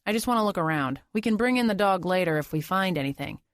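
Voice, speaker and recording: A woman's voice: lowish voice, animated, quick pace, dry recording, studio quality, lightly acted. A woman voicing a determined, businesslike male police investigator in an audiobook. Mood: businesslike, insistent. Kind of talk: audiobook character dialogue.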